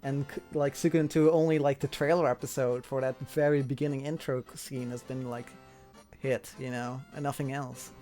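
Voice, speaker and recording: A man with a medium-pitched voice conducts a casual interview. He speaks haltingly, often repeating words as he goes. There is faint music underneath. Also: fairly even intonation, mellow.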